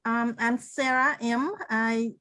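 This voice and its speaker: Slow, earnest middle-aged woman. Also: on the high side, steady tone, pushed and bright, no room sound. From Cambodia. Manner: slow, earnest, simple phrasing. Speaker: co-host and speaker. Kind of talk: personal story on a video call. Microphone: video call.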